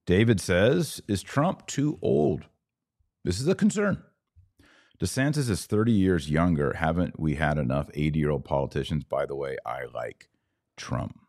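A man with an exceptionally deep voice hosts a casual live podcast. He talks conversationally, reading comments from viewers and answering with his own commentary. The sound is dry, with little room around the voice. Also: expressive, unhurried, creaky, a little mellow, no room sound. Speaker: podcast host.

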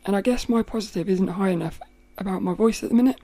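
A woman with a rough, cracking voice, hosting a podcast and thinking aloud in a rambling way, often laughing. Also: medium pitch, quick pace, dark tone, dry recording. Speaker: podcast host. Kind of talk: casual reflective monologue.

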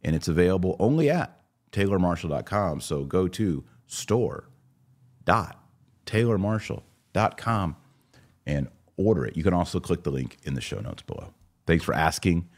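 A man's voice: low-pitched, sing-song, normal speed, dry recording. An American man in his forties hosting a podcast, chatting casually and speaking straight to his listeners as he answers comments. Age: 40s. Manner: conversational, addresses listeners directly. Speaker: podcast host.

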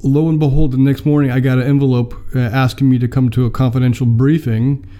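An American man in his fifties, telling how he came to mission work in a measured, filler-laden interview. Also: medium pitch, level tone, mellow. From United States. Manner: measured storytelling with many fillers. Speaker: mission mobilizer, guest. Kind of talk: personal testimony in an interview.

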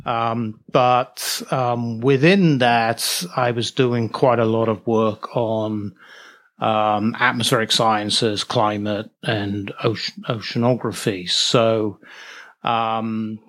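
A middle-aged man with an English accent, answering casually as an interview guest, often halting to find his words. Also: fairly low pitch, steady tone, a bit bright. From England.

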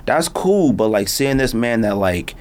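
A middle-aged American man talks as a podcast host in a studio, at an ordinary pitch. He rambles through anecdotes in loose, slangy speech, casual and conversational. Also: unhurried. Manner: slangy, animated storytelling with frequent "man" and "fam".

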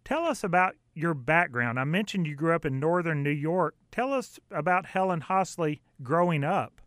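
A man's voice: on the high side, animated, normal speed, a bit bright, dry recording, clean studio sound. A man hosting a radio show, introducing and interviewing a guest. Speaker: radio host.

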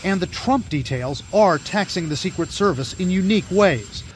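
A man's voice: sits high, animated, normal speed. A man narrating a scripted television news report as a reporter. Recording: news clip with clear outdoor noise.

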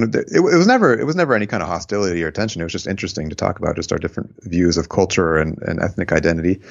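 Man, American accent, very low voice, reflective, slightly dull recording. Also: vocal fry. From United States. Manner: reflective, unhurried, many fillers, laughs at own stories. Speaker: interviewee, former volunteer abroad. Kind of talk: oral history interview.

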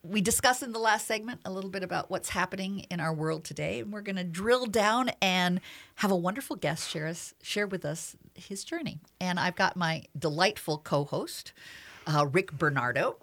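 A woman hosting a radio talk show in a studio, talking earnestly and at a measured pace, with many fillers. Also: lowish voice, fairly bright tone.

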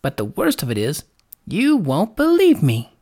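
A woman's voice: on the low side, wildly swooping pitch, a little slow, warm tone, husky, voice pushed up, heavily acted. A woman voicing a saucy, confident male lark in a storybook, proclaiming emphatically in a singsong way. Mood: exultant. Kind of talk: storybook character voice.

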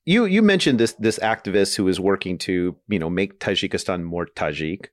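Deep-voiced man asking interview questions. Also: lively intonation, normal speed, dry recording, clean studio sound. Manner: hesitant, restarts mid-question.

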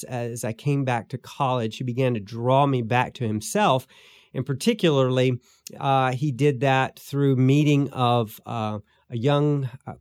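A man in his fifties, a pastor and podcast co-host, talking casually about his book with many pauses, fillers and restarts. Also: medium pitch, a little mellow, no room sound. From born in Guyana, raised in Belize. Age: 50s. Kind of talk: casual interview chat.

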